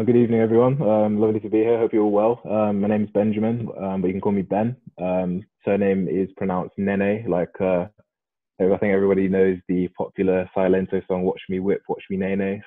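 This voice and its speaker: A man in his early twenties with a British accent, introducing himself as a panel guest in a polite, halting way. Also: low voice, flat delivery, no echo. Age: early 20s. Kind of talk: conversational self-introduction. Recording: tinny, phone-like online call audio.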